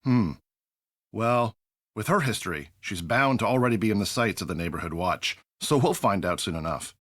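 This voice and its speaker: A wary townsman in a video game. His voice is husky, with lively rises and falls. He speculates in a hushed, gossipy manner, recorded dry. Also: on the low side, normal speed, no echo. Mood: wary, musing.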